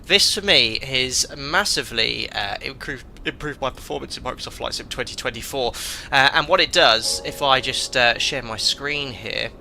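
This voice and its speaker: A man streaming live as a flight simulator host, chatting and reading out chat messages, congested from a cold with a croaky voice and many filler ums and uhs. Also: mid-range voice, normal speed, bright, forceful tone, a little hiss or hum. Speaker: streamer and airline pilot.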